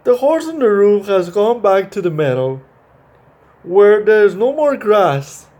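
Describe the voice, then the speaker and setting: A man hosting a children's storytime, reading and chatting to young kids in a halting, repetitive way with simple explanations. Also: sits high, very animated, a little mellow. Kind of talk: reading a picture book and talking to young children.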